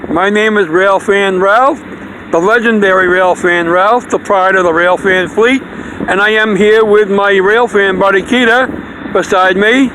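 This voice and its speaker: A man with a notably high voice gives casual trackside commentary as a railfan host. He talks slowly and hesitantly, piece by piece. The recording is outdoors, with clear train and ambient noise behind him. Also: fairly even intonation, sharp tone, low-quality recording. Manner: halting, hesitant delivery. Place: outdoors beside a railroad crossing. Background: passing train and outdoor noise.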